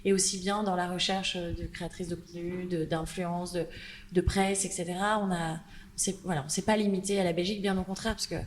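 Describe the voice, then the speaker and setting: A woman with a French accent, a brand founder speaking as a guest on a panel, lively and full of fillers. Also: fairly low pitch, a little fast, a little hiss or hum. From France. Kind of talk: panel conversation.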